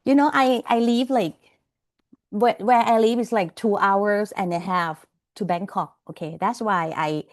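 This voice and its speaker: A woman's voice: medium pitch, measured pace. A woman giving an online class over a video call, chatty and laughing often, checking in with "okay?" and "you know". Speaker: lecturer.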